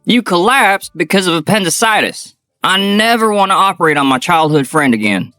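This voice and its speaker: A man voicing a young surgeon in a manga dub, talking in a firm, scolding but concerned way, in a higher voice than his own. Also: expressive, normal speed, pushed and bright, dry recording, soft background music. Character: firm, caring young male surgeon friend.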